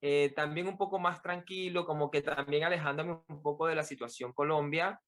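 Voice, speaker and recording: A man's voice: on the high side, fairly even intonation, moderate pace, a bit bright. A man from Venezuela with a Venezuelan accent, speaking reflectively as an interview guest and often repeating words as he gathers his thoughts. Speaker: podcast guest, author. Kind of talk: personal interview account.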